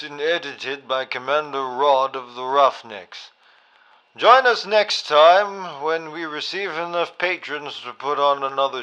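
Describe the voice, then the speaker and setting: A man playing a pompous, cultured gentleman doctor who formally hosts a radio theatre show as a measured announcer. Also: on the high side, smooth, low-quality recording. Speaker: host.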